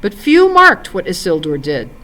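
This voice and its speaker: A woman's voice: fairly low pitch, wildly swooping pitch, moderate pace, pushed and bright, heavily acted. A woman reading the part of a grave, ancient male elf lord in a storybook, with solemn, stately, archaic phrasing. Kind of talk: storybook character in read-aloud.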